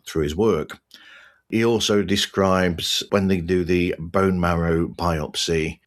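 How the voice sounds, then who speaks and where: A man hosting a podcast, talking in an earnest, measured, conversational way as he reviews and interviews. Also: deep voice. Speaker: podcast host.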